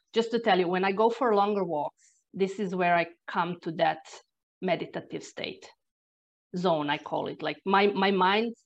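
A Croatian woman with an accent, a non-native English speaker, telling personal stories in a reflective, earnest way as an energy therapist guest. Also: lowish voice. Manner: reflective, earnest, with sighs and restarts. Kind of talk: personal storytelling in conversation. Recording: dull lo-fi sound.

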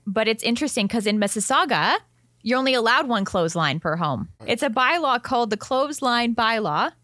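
A woman's voice: fairly high pitch, expressive, a little fast, bright, forceful tone, dry recording, clean studio sound. A woman with a Canadian accent chatting casually as a show co-host, often putting questions to her partner. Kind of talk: casual chat.

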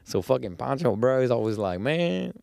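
A man's voice: moderate pace, gravelly. A young man chatting casually on a podcast, telling stories with lots of slang. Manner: slangy, animated storytelling, jumps into high mock voices when quoting. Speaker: podcast host.

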